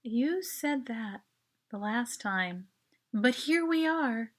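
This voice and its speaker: A woman's voice: on the high side, expressive, takes it slowly. A woman voicing a jealous, clingy teenage girl in a short story, pleading and possessive. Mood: hurt, reproachful. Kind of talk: dramatic short story reading.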